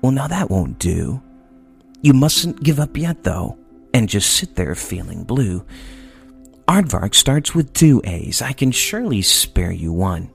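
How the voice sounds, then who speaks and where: A man voicing a kind, sniffly aardvark in a storybook read-aloud, speaking gently. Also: lowish voice, very animated, a little slow, creaky, soft background music, exaggerated voice. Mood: kindly. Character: sniffly kindly aardvark, helpful.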